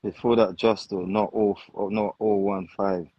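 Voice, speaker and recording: Husky, laid-back man in casual banter. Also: on the low side, level tone, moderate pace, no room sound, highs cut off. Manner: laid-back, laughs along, short replies. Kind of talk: casual chat.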